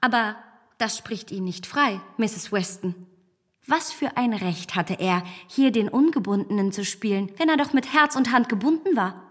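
A self-assured young gentlewoman of a period radio play, acted by a woman, quick to take offence. Her voice sits fairly high and rings in a long reverberant space. She speaks in eloquent, emphatic, formal sentences. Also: close to an everyday voice. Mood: indignant. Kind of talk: radio drama acting of a literary classic.

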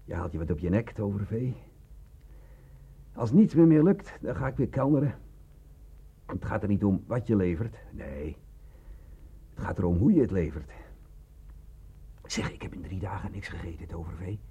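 An actor in a radio play voicing a cynical, boastful, sickly bandit with a hoarse, drawling, mocking delivery. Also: male voice, low-pitched, lively intonation, moderate pace, long pauses, mellow, highs cut off, full character voice. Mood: weary, wry. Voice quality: hoarse, gravelly.

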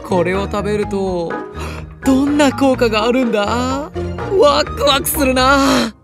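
A man playing a carefree, friendly, boyish younger brother in an audio drama, casual and excitable in manner. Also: very high-pitched, measured pace. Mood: curious, amused. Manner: casual, excitable, good-natured. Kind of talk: animated Japanese audio drama acting. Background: music and animal sounds.

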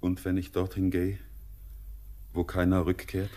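An actor playing a haunted, yearning young stranger in a classic radio drama, declaiming poetic in a solemn, intense, husky voice. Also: male voice, low-pitched, completely flat delivery, measured pace, dark tone. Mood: urgent, pleading.

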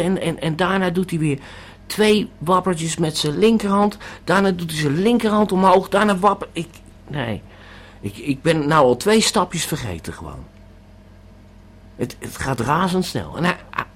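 A man's voice: on the high side, expressive, normal speed, slightly rough. A man playing a panicky, bewildered holidaymaker tripping on a pill in an audio drama monologue, hesitant and stammering. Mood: anxious confusion. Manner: stammering, hesitant, repeating words.

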